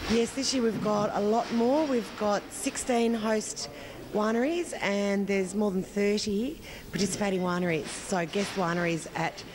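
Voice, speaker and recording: A woman's voice: mid-range voice, a little slow, rough recording. An Australian woman promoting an event in spoken interview answers. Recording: crowd noise at busy event. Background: clear crowd noise.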